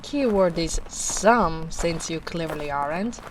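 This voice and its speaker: Woman playing a slow, soft-spoken gentle ogress. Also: deep voice, expressive. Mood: dry, teasing. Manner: soothing, unhurried, gently teasing. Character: gentle big strong female ogre, caring, teasing rescuer. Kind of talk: soft-spoken ASMR roleplay. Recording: noisy storm ambience behind voice.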